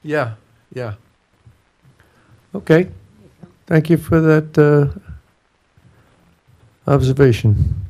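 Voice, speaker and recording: A man's voice: a little high, warm tone. Hesitant man chairing a board meeting. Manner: hesitant, halting, with many fillers.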